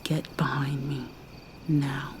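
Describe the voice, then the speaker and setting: A woman voicing a gentle young mermaid in an ASMR roleplay, speaking softly and hesitantly, often in a whisper. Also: a bass voice, flat delivery, takes it slowly, slight background noise. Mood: defiant.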